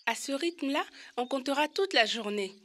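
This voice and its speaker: A woman's voice: sits high, lively intonation, moderate pace, a bit bright. A woman voicing an eager, playful little boy elephant in a French-dubbed children's cartoon, with an emphatic, exclaiming delivery. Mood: chatty, curious.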